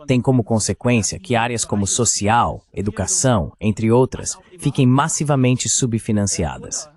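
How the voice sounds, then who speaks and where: Male voice-over for a panelist, lowish pitch, insistent and argumentative. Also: a little mellow. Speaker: voice-over interpreter for a panel guest. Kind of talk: translated voice-over of a panel discussion.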